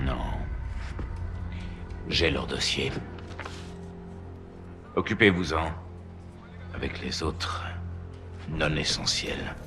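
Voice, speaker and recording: A man's voice: very deep voice, heavily acted. A man playing a stern senior Nazi officer in a war game, grave and commanding. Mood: cold command. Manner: grave, authoritative. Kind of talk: cinematic war game acting.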